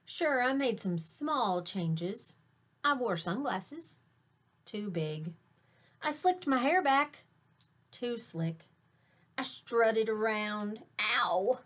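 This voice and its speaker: A middle-aged woman reading a picture book aloud like a teacher, dramatically, drawing out words for effect. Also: mid-range voice. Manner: dramatic, with drawn-out words and emphatic exclamations. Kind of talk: expressive children's read-aloud. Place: small indoor space with fabric backdrop.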